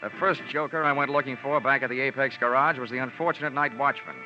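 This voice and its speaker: Hard-boiled investigator narrator, a man acting, mid-pitched, wry and tough, muffled radio sound over prominent music. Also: fairly even intonation, quick pace, natural voice. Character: hard-boiled, wisecracking male insurance investigator. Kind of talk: noir first-person narration, old-time radio acting. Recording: muffled old radio drama recording.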